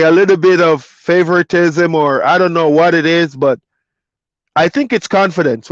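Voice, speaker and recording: A laid-back man sits in his truck and chats with his stream, slightly higher in pitch than most men. His voice comes through dull and dry, like a lo-fi stream mic. He talks easily and loosely to his viewers. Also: no room sound. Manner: laid-back, loose, reads chat comments aloud. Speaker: trucker hosting a live stream. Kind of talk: casual live stream chat.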